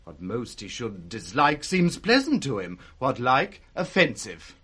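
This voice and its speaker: An actor playing an officious, gossiping steward with a British accent, in an animated 1960s radio Shakespeare style. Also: male voice, medium pitch, measured pace, breathy edge, full character voice. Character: officious, gossiping steward reporting to his mistress.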